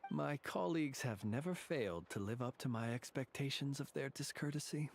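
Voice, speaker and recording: A man voicing a weary, wry fae lantern keeper in a video game, speaking in formal, measured phrases. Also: medium pitch, vocal fry, soft background music, lightly acted. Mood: wry, courteous.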